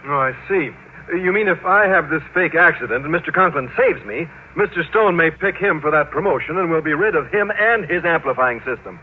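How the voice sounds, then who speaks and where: Casting: polite earnest teacher, lightish higher voice; narrow old radio sound. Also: male voice, expressive, brisk, husky. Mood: agreeable. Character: earnest, mild, polite male science teacher. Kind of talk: old-time radio sitcom acting. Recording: noisy tinny old radio recording.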